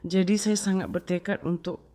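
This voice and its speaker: Stroke survivor, flat even voice, smooth, steady, telling their story. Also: mid-range voice, normal speed. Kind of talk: personal testimony.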